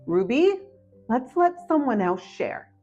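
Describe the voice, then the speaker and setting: A woman's voice: high voice, sing-song, slow pace, warm tone, voice pushed up, full character voice. A woman voicing an exasperated schoolteacher giving firm, clipped instructions in a children's picture book read-aloud. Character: exasperated female schoolteacher losing patience. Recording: muffled audio with faint music behind.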